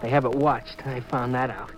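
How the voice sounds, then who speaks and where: An actor playing a desperate, jumpy nineteen-year-old sailor in a 1950s radio drama. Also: male voice, medium pitch, steady tone, moderate pace, natural voice. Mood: uneasy, secretive. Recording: muffled old radio recording, faint music.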